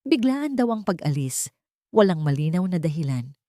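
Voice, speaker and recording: A woman's voice: fairly low pitch, sing-song, normal speed, warm tone, dry recording, studio quality. A woman voicing a serious, assured male ally in an audio story. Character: serious, helpful man, a loyal ally.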